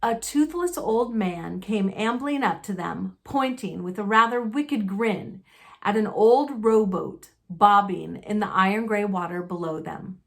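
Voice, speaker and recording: A woman narrating a children's novel aloud, expressively, with deliberate and dramatic phrasing. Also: medium pitch, vocal fry. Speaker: storybook narrator.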